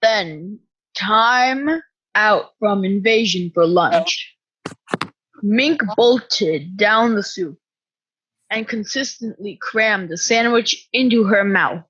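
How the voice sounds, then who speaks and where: A woman's voice: medium pitch, slow pace, a bit bright, breathy edge. A woman announcing and narrating a scripted radio suspense play. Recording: dull lo-fi with music behind.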